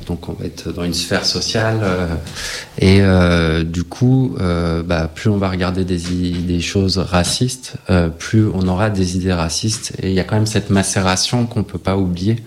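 A man in his late thirties speaking as a panel guest, halting with many filler sounds. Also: low-pitched. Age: 30s. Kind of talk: casual discussion.

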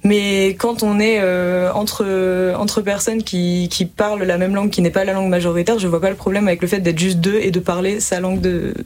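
A woman's voice: mid-range voice, flat delivery, moderate pace, hardly any pauses, a little mellow. Earnest woman thinking aloud. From France. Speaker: panel participant. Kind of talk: discussion on a talk show.

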